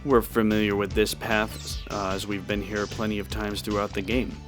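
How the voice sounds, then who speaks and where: A man's voice: fairly low pitch, little pitch movement, normal speed. A man giving casual walkthrough commentary on a video game. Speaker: gameplay walkthrough host. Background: game music.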